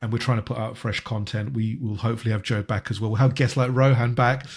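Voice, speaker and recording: Husky-voiced male podcast host. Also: medium pitch, flat delivery, fast talker. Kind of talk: casual football podcast chat.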